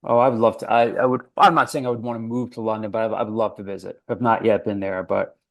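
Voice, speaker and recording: A man's voice: on the low side, fairly even intonation, fast, gravelly. A young man hosting a casual sports podcast, chatty and self-deprecating, with lots of fillers. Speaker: podcast host.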